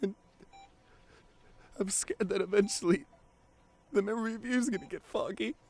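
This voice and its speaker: A man playing a grieving, devoted boyfriend in an emotional ASMR audio roleplay, pleading haltingly through tears with his voice breaking. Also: high voice, vocal fry. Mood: sad, fearful. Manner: halting, repeats phrases, voice breaking into sobs. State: crying. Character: grieving devoted boyfriend pleading at comatose partner's hospital bedside.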